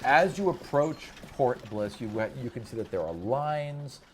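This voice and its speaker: A man's voice: mid-range voice, lively intonation, a little slow, background noise. A man acting as game master, improvising fantasy narration mixed with casual chat, often stopping to rephrase as he describes the scene.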